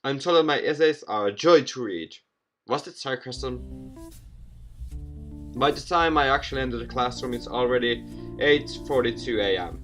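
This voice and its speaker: A man's voice: medium pitch, moderate pace, long pauses, a bit bright, soft background music. A man hosting a gaming video, reading a visual novel aloud with theatrical flair and casual asides, stumbling over words. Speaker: video game let's player, host.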